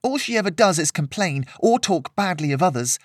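A fiery, bitter young girl acted out by a male narrator in a storybook. The voice is pushed high and loud, indignant and exasperated, in a very dry recording. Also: expressive, fairly quick, dry recording. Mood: angry ranting. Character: hot-tempered teenage girl, bitter and exasperated.

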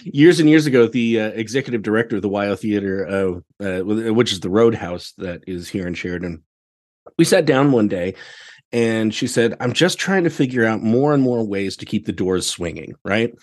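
A man's voice: on the low side, animated, moderate pace. An American man chatting casually as a podcast guest, telling stories with exclamations and quoting himself. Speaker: podcast guest, theatre director. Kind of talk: casual chat.

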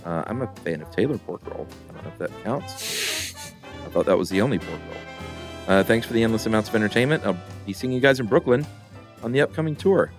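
A middle-aged man co-hosting a podcast, reading listener mail in a rambling, relaxed way with lots of fillers. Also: fairly low pitch, fairly quick, soft background music. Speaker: podcast co-host.

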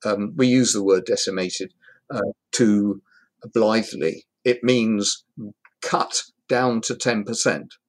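A man answering interview questions in a measured, thoughtful way. He pauses often and fills the gaps with small hesitations as he works his points out. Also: lowish voice. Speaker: hospitality industry guest expert. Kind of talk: conversational interview.

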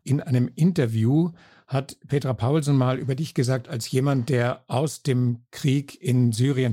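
An older man from northern Germany hosting an interview podcast, with a measured, friendly way of asking questions. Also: medium pitch, warm tone, husky, no echo, studio quality. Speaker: podcast host. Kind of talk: scripted intro then interview.

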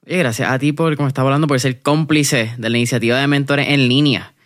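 Puerto rican guy hosting a podcast, chatty and slangy, mid pitch. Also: steady tone, quick pace. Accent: Puerto Rican Spanish. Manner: slangy and friendly, with fillers. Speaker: podcast host. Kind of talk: casual podcast interview.

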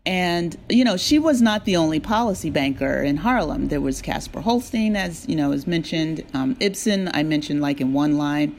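A woman's voice: low-pitched, animated, normal speed, hardly any pauses, darkish tone, a little hiss or hum. Earnest woman explaining in an interview. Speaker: interview guest, audio drama writer. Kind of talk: conversational interview.

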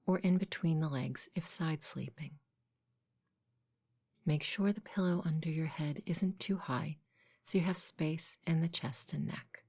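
A woman guiding a sleep meditation in a soft, whispery, husky voice, gentle and unhurried. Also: sits low. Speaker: meditation guide. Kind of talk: guided sleep meditation, slow soothing narration.

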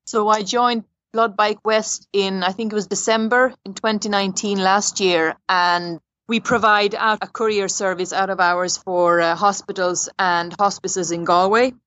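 A woman who grew up in Sweden, answering interview questions in a plain, steady, matter-of-fact way. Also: mid-range voice, moderate pace, hardly any pauses, dry recording, muffled recording. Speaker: dog groomer and volunteer medical courier rider.

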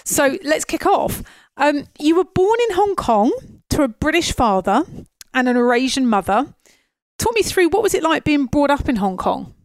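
Eager woman interviewer, slightly high. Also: very animated, normal speed, dry recording. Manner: eager, stumbling questions. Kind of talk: conversational interview.

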